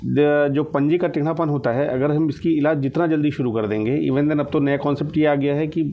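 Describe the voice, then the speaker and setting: A man's voice: a little high, fairly quick, phone-quality sound, slight background noise. A man, a doctor being interviewed, explaining hesitantly and mixing in English terms. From India.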